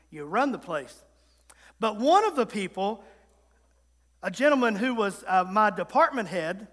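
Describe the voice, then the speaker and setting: A man's voice: high-pitched, sharp tone. A man preaching a sermon in his thirties, emphatic and repetitive, tossing short call-and-response asides to the congregation. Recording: long reverb of a large hall.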